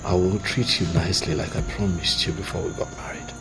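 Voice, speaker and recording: An actor playing a remorseful young king softly pleading with his wife. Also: sits low, brisk, music in the background. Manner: soft, pleading.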